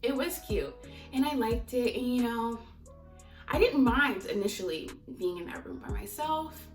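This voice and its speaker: A woman's voice: fairly high pitch, animated, measured pace. A young American woman giving a casual, candid vlog talk to her viewers, chatty and rambling as she goes. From United States (Maryland), teens in Mozambique. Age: young adult. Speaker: vlogger. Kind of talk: casual chat to camera. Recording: echoey room, distant mic, music behind.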